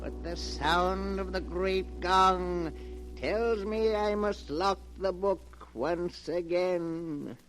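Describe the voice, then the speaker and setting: A ghoulish storyteller from an old radio horror show, very high in pitch and very slow. He savours each macabre word with theatrical menace, swooping about on a noisy vintage recording. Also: a bit bright, full character voice. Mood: gloating, macabre. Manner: theatrical, menacing, drawn-out delivery with ghoulish relish. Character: sinister cackling keeper of a book of horror tales. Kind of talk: 1940s radio horror narration.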